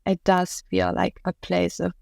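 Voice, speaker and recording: A woman whose first language is German talks thoughtfully as a podcast guest. She picks her words with care. Her voice has some gravel to it, and the recording is dry and close. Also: fairly low pitch, big pitch swings, darkish tone, dry recording. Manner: thoughtful, measured, searching for words. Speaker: guest, trauma care facilitator trainer. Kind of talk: reflective podcast conversation.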